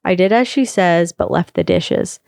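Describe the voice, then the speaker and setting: Female podcast reader, low and smooth, steady measured storytelling delivery. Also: no echo, studio quality. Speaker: podcast co-host reading a story. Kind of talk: reading a first-person online post aloud.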